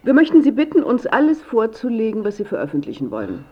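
Controlling middle-aged daughter, radio drama, businesslike, firm, husky, muffled recording. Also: female voice, a little high, wildly swooping pitch, full character voice. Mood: brisk, controlling. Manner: brisk, firm, formal.

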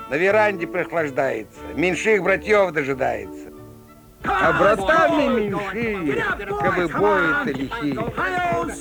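A man playing a theatrical fairground peepshow barker, telling rhymed folk tales in a playful, drawn-out sing-song declamation. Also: sits high, exaggerated voice. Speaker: narrator, fairground showman. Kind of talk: rhymed Russian folk-tale showman patter.

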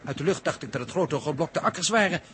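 An actor playing an analytical, level-headed captive astronaut in a 1960s radio play, with measured, educated wording. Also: male voice, a little high, animated, fairly bright tone. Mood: observant. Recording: noisy old radio recording.

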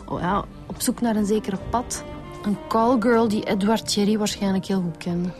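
An actress playing a diligent, brisk police detective in a Flemish radio drama, with a Flemish accent. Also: female voice, medium pitch, steady tone, over music, close to an everyday voice. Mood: businesslike.

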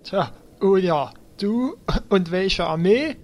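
A man's voice: sits high, expressive, slow pace, darkish tone, full character voice. A man playing a cocky, stubborn and simple fantasy warrior in an audio drama parody.

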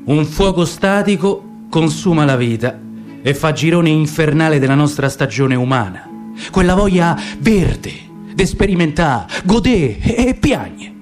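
Male stage reader, fairly high voice, unhurried, lyrical declamation. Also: big pitch swings, music in the background. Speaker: radio theatre performer. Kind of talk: dramatic poetic monologue.

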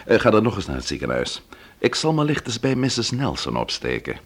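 An actor playing a composed, probing police investigator in a Dutch radio drama, speaking in a measured, questioning way. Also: male voice, lowish voice, wildly swooping pitch, exaggerated voice. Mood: matter-of-fact. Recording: radio play with faint background noise.

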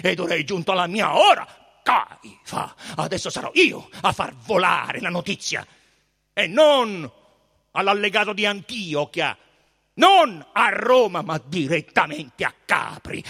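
A man's voice: high-pitched, a bit bright, pitched above the performer's own voice, full character voice. A man voicing a haughty, menacing Roman procurator in a storybook, imperious and emphatic in manner. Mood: vengeful.

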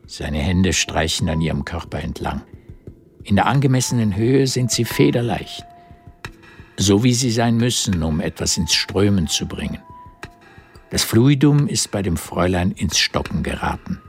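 A man narrating a literary radio drama in a measured, intimate way with a gravelly, husky voice. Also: low voice, lively intonation, soft background music.

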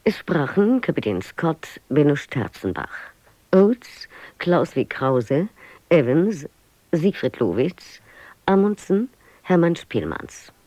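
Radio announcer, mellow dark tone, lively intonation, scripted cast credits, dry studio sound. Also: medium pitch, takes it slowly, no echo.

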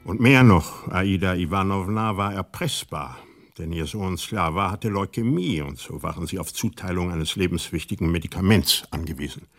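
A former embassy security chief tells the story in a radio play. His low voice is measured and world-weary, with wry, pointed pauses. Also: a bit bright, soft background music. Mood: grave recounting. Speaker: narrator. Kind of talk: radio drama narration.

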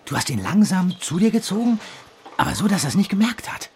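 A man's voice: high-pitched, sing-song, fairly quick, noisy background. An actor playing a blunt, easygoing young man in a German audio drama, with casual, colloquial speech. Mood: casual, admiring.

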